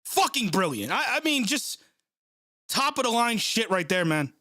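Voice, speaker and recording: A man's voice: extremely high pitch, very animated, moderate pace, pushed and bright. A young man hosting a live sports reaction stream, stuffed up from a cold, reading chat and venting with sarcasm and swearing. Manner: frustrated, sarcastic, swears often.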